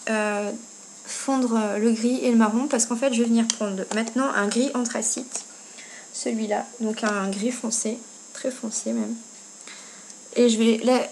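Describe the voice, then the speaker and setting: A woman's voice: a little high, a little mellow, low-quality recording. A woman giving a casual step-by-step makeup tutorial, talking informally as she works. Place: indoors at home. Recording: noticeable background noise, amateur home video.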